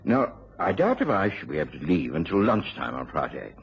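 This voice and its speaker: Man acting a kindly loyal british gent in an old radio drama, lowish voice, very animated, genteel, muffled recording. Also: a little fast, gravelly, breathy edge, exaggerated voice. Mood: pleased. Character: kindly, dutiful married English gentleman, loyal friend.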